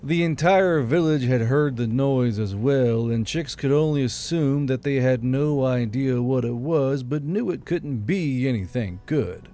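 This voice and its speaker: A man's voice: medium pitch, measured pace, few pauses, mellow. A man narrating an audiobook story in a dramatic, scripted style.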